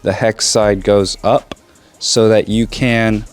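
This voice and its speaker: Young male car vlogger, slightly sick and hoarse, loose joking delivery, handheld camera. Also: on the low side, level tone, slow, soft background music. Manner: loose and joking, addresses viewers as guys. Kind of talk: casual vlog chat. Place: home bedroom, then cold outdoor garage.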